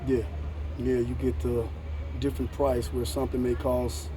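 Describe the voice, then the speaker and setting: An American man hosting a casual outdoor conversation, relaxed and repeating his phrases. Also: mid-range voice, normal speed, noisy background. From United States. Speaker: host.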